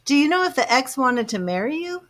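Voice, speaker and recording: A woman's voice: high voice, big pitch swings, a little fast, a bit bright, slight background noise. A woman giving live tarot readings, chatting to viewers by name and musing over the cards. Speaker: tarot reader.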